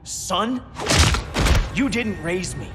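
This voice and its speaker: A defiant young male vigilante, bitter and taunting in a high voice that swings widely. It is video game drama, with clear music underneath. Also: expressive, extremely slow, heavily acted. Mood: taunting, contemptuous. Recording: music behind the voice.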